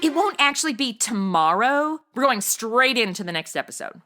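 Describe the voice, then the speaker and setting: A woman's voice: fairly high pitch, sing-song, normal speed, sharp tone, soft background music. An American woman playing a chatty, flippant modern rookie investigator in a comedy audio drama, breezy and casual. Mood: matter-of-fact.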